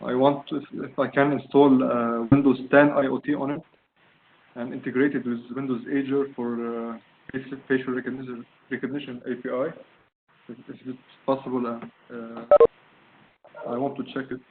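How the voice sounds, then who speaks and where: Halting man from Lebanon on a call. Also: medium pitch, level tone. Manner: halting, searching for words, many fillers. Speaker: student presenting a project. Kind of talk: online video call presentation. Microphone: video call. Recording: tinny phone-like call audio, faint noise.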